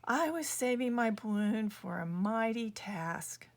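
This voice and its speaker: A woman's voice: fairly high pitch, animated, takes it slowly. A woman voicing a loyal, helpful little prairie dog in a children's storybook, earnest and encouraging.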